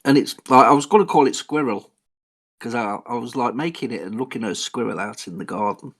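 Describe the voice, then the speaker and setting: A man chatting casually as a musician guest in an interview, rambling along with a jokey, deadpan way of telling things. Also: medium pitch, fairly quick, slightly rough. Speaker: guest musician on a radio show.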